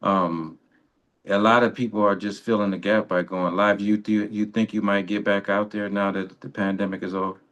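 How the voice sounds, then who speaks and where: Guy with a low voice doing a relaxed interview, chatty and encouraging. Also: moderate pace. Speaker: interview host and musician. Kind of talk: casual interview chat.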